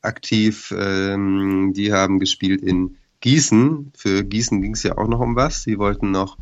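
A man with a cold, hoarse, hosting a casual sports podcast and hesitating with many filler sounds. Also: fairly low pitch, steady tone, measured pace, dull audio. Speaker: podcast co-host.

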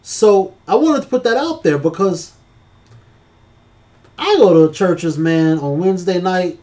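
Mellow-voiced man with a preacherly cadence. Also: high voice, animated, unhurried. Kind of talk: casual church-talk podcast.

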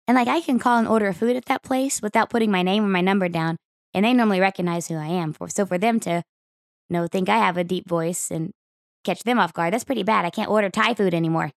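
Raspy, hoarse woman joking around. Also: medium pitch, fast, no room sound, studio quality. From Oklahoma. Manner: joking, playful. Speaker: podcast co-host. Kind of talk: casual chat.

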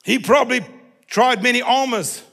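A man preaching a sermon in a sing-song manner that rises into shouts. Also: very high voice, normal speed, gravelly.

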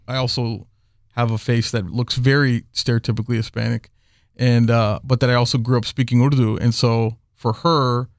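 A man's voice: mid-range voice, flat delivery, moderate pace, no room sound, studio quality. A man talking casually as a podcast guest, rambling with many fillers and restarts. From Houston area. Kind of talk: casual conversation.

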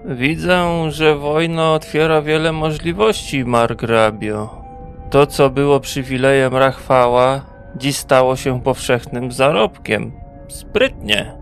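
A man playing a wary, self-assured wandering traveller in a fantasy audio drama, with a measured, dry delivery. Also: medium pitch, soft background music. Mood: calm, sly.

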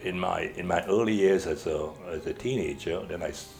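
An older man from Trinidad, talking as an interview guest in a halting way, repeating words and laughing often. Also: sits low, gravelly, darkish tone, soft background music. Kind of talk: casual interview talk.

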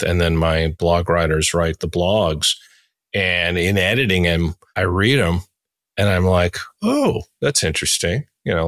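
Low-voiced man hosting, laid-back. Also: a bit bright, no room sound, studio quality. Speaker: podcast host. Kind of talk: casual interview.

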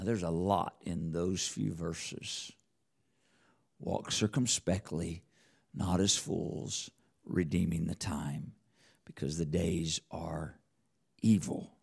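A man's voice: low-pitched, creaky, darkish tone, breathy edge. A man preaching a sermon as a church elder, speaking emphatically with repeated phrases and rhetorical questions. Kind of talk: sermon with scripture quotation.

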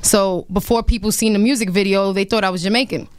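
Forceful New York woman, clear dry voice. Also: mid-range voice, steady tone, fast talker, dry recording. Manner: forceful, talks strongly with many fillers. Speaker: guest singer. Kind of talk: casual radio interview.

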